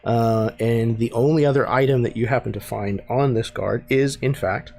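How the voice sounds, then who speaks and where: A man narrating a tabletop role-playing game as game master, relaxed and hesitant, with many fillers. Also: mid-range voice, moderate pace, vocal fry.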